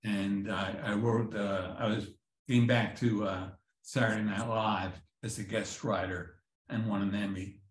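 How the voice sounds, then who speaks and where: A man and comedy writer turned professor, answering interview questions with dry, self-deprecating humor and frequent halting restarts over a video call. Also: on the low side, little pitch movement. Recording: roomy echo.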